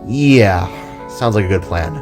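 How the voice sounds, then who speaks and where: A man's voice: low voice, lively intonation, unhurried. A man voicing a wry, loyal male hero who speaks briefly and reassuringly. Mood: content. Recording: music under the voice.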